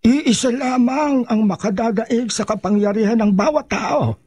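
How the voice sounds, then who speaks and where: High-voiced devout old male mentor. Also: moderate pace, a little mellow, husky, dry recording, clean studio sound. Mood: earnest, instructive. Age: older. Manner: earnest, preachy, insistent. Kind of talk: radio drama acting.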